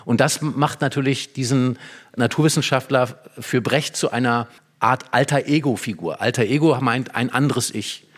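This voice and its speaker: A man's voice: medium pitch, moderate pace. A man speaking as an expert guest, analysing literature conversationally and explaining with examples and small tag questions.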